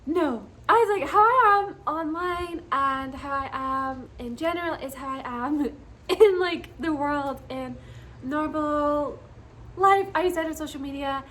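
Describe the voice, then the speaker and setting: A woman's voice: high voice, a little slow, fairly bright tone. A young woman from Scotland, chatting casually and bubbly to her viewers, giggling often. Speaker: vlogger. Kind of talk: casual chat to camera.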